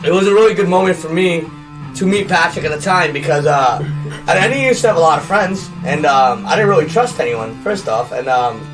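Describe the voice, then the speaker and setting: A man's voice: a little high, lively intonation, brisk. A young man from Pakistan who talks in a rambling, heartfelt way, full of "dude" and "like", chatting with friends on a podcast. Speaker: podcast guest. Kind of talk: casual chat. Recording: far from the mic, with guitar music.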